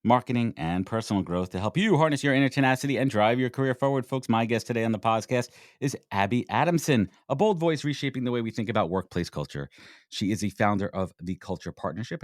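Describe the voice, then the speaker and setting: A fast-talking man with a Brooklyn accent hosts with energy and a blunt, joking manner. His pitch moves a lot. The sound is close and dry. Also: lively intonation, no room sound. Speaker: podcast host. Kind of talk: casual interview chat.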